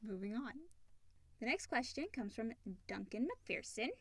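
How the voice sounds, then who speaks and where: A woman's voice: fairly high pitch, lively intonation, unhurried, heavy background noise. A woman co-hosting a podcast in a casual, conversational style. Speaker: podcast co-host reading listener questions.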